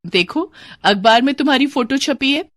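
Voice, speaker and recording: Lady doing a kind proud teacher lady, encouraging, expressive, storybook. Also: high voice, moderate pace, no room sound, pitched above the performer's own voice. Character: kind, praising schoolteacher, adult woman. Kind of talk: acted dialogue in a moral story.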